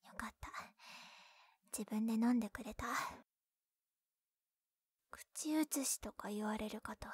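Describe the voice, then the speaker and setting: A woman voicing a bashful, secretly caring tsundere young childhood friend in close-up ASMR roleplay, breathy and often whispering, scolding yet tender. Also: fairly high pitch, unhurried, pauses often, a little mellow, no room sound. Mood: gentle, bashful. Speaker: voice actress in roleplay audio. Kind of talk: Japanese ASMR situation-voice roleplay. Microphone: close binaural microphone, dry.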